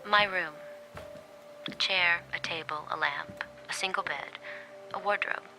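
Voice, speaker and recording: A woman playing a subdued, watchful handmaid in an audio drama, narrating her thoughts in a measured, hushed, introspective way. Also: fairly low pitch, gravelly, poor audio. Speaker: lead actor and first-person narrator. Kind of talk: audio drama, intimate literary narration and dialogue. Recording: music under the voice.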